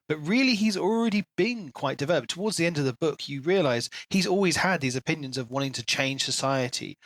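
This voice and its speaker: A man's voice: fairly high pitch, fairly bright tone, no echo. A man co-hosting a podcast, chatting analytically and conversationally about a book. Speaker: podcast co-host. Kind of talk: casual book discussion.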